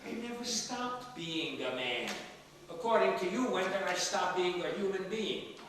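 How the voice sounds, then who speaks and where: A man's voice: sits high, moderate pace, natural voice. Echoey, feisty old father played by a man. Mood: defiant. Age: older. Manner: emphatic, sardonic, theatrical. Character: feisty, wisecracking elderly widowed father, defiantly lively. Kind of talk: comedic stage play acting. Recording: echoey theater stage, distant mic, faint noise.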